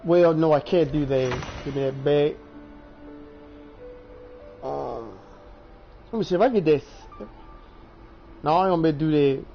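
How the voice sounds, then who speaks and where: A man's voice: a little high, normal speed, long pauses. A man giving casual gameplay commentary, muttering and counting aloud to himself. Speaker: gamer. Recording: dull game-stream audio with music behind. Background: clear game music.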